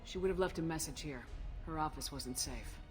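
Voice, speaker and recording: An actress playing a steady, no-nonsense female military commander in a sci-fi video game, speaking in a direct, businesslike way. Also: sits low, normal speed, close to an everyday voice. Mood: focused. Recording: music playing behind the voice. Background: game soundtrack music.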